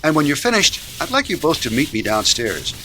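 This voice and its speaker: An actor playing a kindly, gently stern older man in a children's radio drama. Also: a little high, huge pitch swings, slight background noise, full character voice, low-quality recording. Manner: measured, theatrical, gently admonishing.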